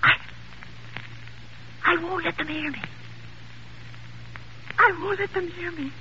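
A woman's voice: very high-pitched, slow, bright, forceful tone, exaggerated voice. An actress playing a frantic, terrified woman pleading on the telephone in a stammering 1940s radio melodrama. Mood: hushed terror. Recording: muffled, noisy vintage radio recording.

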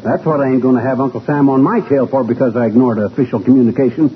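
A man playing a blustery, scheming, folksy husband in old-time radio comedy, with excitable, slangy talk. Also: medium pitch, quick pace, natural voice. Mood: exasperated, determined. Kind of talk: 1940s-50s radio comedy acting. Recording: muffled, noisy old radio recording.

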